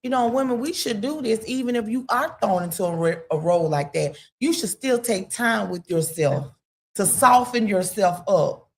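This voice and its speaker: A woman in a casual talk-radio discussion, rambling and emphatic, full of "you know" and sudden jumps in pitch. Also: animated, moderate pace.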